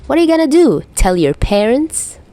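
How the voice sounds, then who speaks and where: Lady doing a dramatic teasing young guy in a story, fake solemn, pitch swooping around. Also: big pitch swings, moderate pace, warm tone. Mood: playful. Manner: overdramatic, mock-solemn teasing. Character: theatrical, teasing young man, mock-parental and playfully dramatic.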